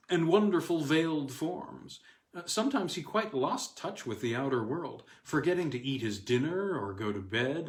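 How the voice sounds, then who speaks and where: A man reading literary weird fiction aloud as narrator, in an expressive, measured way with dramatic stresses and pauses. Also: a little high. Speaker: narrator and host of a story reading series. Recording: roomy, echoey sound.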